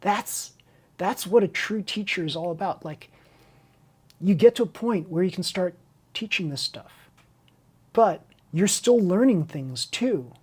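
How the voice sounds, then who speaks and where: Husky woman chatting through a hobby build. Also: fairly low pitch, animated, measured pace, a little mellow. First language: Chinese. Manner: rambling, candid, self-interrupting. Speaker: hobby video host and teacher. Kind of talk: unscripted casual chat over a model car build tutorial.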